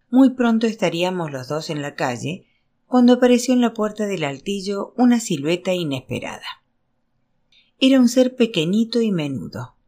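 A woman reading literary fiction aloud as scripted narration, in an expressive storytelling manner. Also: medium pitch. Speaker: audiobook reader.

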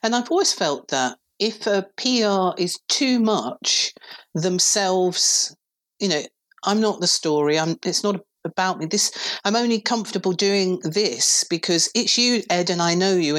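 A woman in her fifties from England with a very deep voice, a PR director talking thoughtfully as a podcast guest. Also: measured pace, a bit bright. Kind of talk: relaxed conversational interview.

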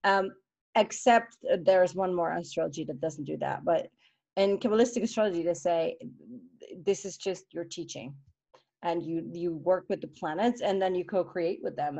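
Chatty Swiss woman, lowish voice. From Switzerland. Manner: animated, with many restarts and fillers. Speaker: podcast co-host. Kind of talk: casual conversation.

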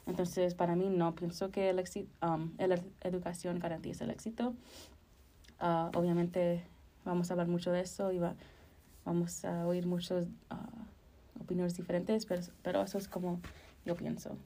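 A woman's voice: on the low side, flat delivery, normal speed, mellow. A woman, co-hosting a casual podcast discussion in hesitant, self-correcting phrases. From Chicago, United States. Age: early 20s. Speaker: podcast co-host.

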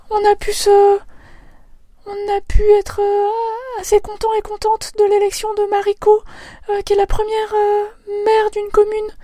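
A woman chatting on a podcast from her home, her voice pitched very high yet held almost flat. The tone is soft and mellow, recorded close and dry. She speaks haltingly, restarting phrases and filling pauses. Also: no echo. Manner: hesitant, many fillers and restarts. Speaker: podcast co-host. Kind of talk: casual podcast discussion. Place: at home in a separate room during lockdown.

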